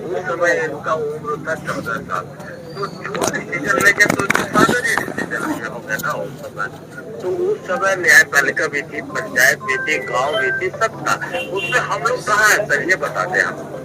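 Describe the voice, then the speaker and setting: A man's voice: on the high side, big pitch swings, unhurried, heavy background noise. An official answering a phone call, heard through a speaker at a protest. He defends himself and keeps repeating "sir" and that the papers were already given. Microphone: phone line played over a speaker.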